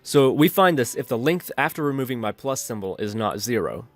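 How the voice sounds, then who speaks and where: A man's voice: mid-range voice, lively intonation, brisk, dry recording. A man narrating an instructional screencast as a tutorial host, explaining methodically step by step. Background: background music.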